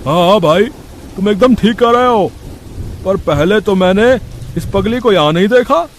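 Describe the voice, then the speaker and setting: A man's voice: high voice, animated, normal speed, a little hiss or hum. A man voicing a grumbling, disapproving tea-stall customer in a cartoon moral story. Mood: agreeing.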